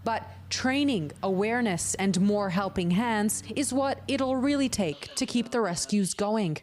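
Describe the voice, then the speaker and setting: A woman's voice: medium pitch, measured pace, a little mellow. A woman narrating a scripted television news report as a reporter.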